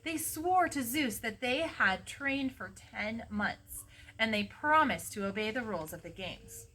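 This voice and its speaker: A woman's voice: fairly high pitch, expressive, measured pace, bright, forceful tone, exaggerated voice, poor audio. A woman voicing a proud, knowledgeable Greek man who guides a young visitor in a storybook, declarative and instructive.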